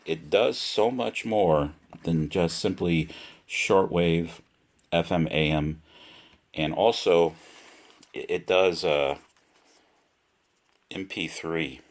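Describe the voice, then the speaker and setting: A man giving a casual, unscripted product demonstration, speaking deliberately and repeating himself for emphasis. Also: low voice. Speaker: product reviewer.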